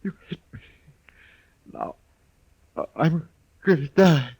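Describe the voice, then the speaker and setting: An actor playing a crazed, dying little killer in a 1950s radio western, confessing in halting anguish. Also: male voice, on the high side, sing-song, gravelly, darkish tone, husky, full character voice. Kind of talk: 1950s radio drama acting. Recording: old 1950s radio recording.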